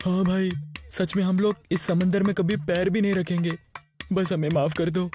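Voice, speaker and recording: Man acting an anxious, high-voiced young friend. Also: level tone, moderate pace, dark tone, close to an everyday voice. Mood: pleading. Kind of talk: animated story dialogue. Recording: voice over background music.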